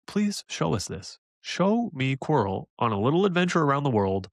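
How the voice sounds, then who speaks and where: A man co-hosting a casual fan podcast, talking excitedly and pitching ideas with laughs. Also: moderate pace, no echo, clean studio sound. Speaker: podcast co-host.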